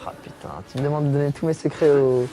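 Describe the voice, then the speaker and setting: A man's voice: a little high, steady tone, fast, a crowd in the background, low-quality recording. A young man, a comedian guest, chatting jokingly in an interview, teasing and self-mocking while lying back.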